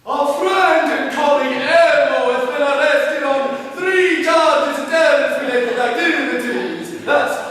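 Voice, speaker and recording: A man voicing a squeaky, childish, puppet-like spokesman in a student stage performance, recorded from far off in an echoey hall. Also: a little slow, hardly any pauses, fairly bright tone. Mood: mock solemn. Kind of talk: student reader's theatre performance.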